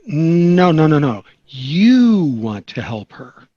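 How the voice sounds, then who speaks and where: A man playing a practical, coaxing suburban sitcom father at a table read, husky and animated, over a dull online-call sound. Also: on the high side, slow pace, dry recording, exaggerated voice. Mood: firm, instructing.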